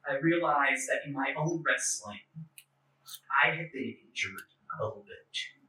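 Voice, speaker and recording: A man with an occasional stutter giving a personal, sermon-like talk as clergy, in measured short phrases with deliberate pauses. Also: medium pitch, expressive, bright, forceful tone. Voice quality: stutter, occasional broken fluency. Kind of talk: personal testimony sermon at a church forum. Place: echoey indoor church forum space. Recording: echoey room, distant microphone.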